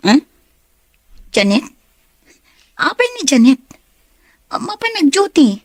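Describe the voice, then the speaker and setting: A woman acting a scheming, envious young woman in a radio drama, with a husky, theatrical delivery. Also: high-pitched, big pitch swings, slow, dry recording, studio quality, full character voice. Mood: bright, surprised.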